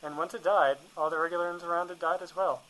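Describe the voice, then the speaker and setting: A man's voice: high-pitched, steady tone, quick pace, fairly bright tone, low-quality recording. A man playing an exasperated, practical monster hunter in a comedy radio drama. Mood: matter-of-fact.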